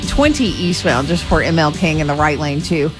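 A woman's voice: low-pitched, lively intonation, moderate pace, over music. A woman giving a radio traffic report as a clipped rundown of road incidents.

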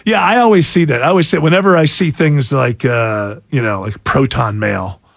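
A man's voice: mid-range voice, normal speed, gravelly, dry recording, studio quality. A man in his sixties hosting a casual talk show, joking sarcastically and laughing often. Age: 60s. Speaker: radio show host. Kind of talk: casual chat.

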